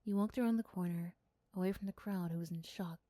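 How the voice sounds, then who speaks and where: A soft-spoken woman giving scripted second-person story narration in an ASMR roleplay style. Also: fairly low pitch, fairly quick, no room sound. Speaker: storyteller and voice performer.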